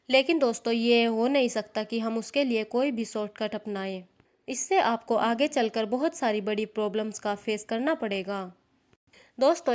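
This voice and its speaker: A woman narrating scripted social media commentary, addressing viewers in an opinionated, admonishing way. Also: fairly high pitch. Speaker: narrator of a social media gossip news channel.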